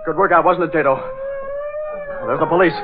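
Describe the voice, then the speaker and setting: An actor in 1940s radio style playing a tough, confident masked crime fighter. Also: male voice, on the high side, fairly even intonation, fairly quick, natural voice. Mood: brisk, confident. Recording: muffled 1940s radio fight scene, noisy.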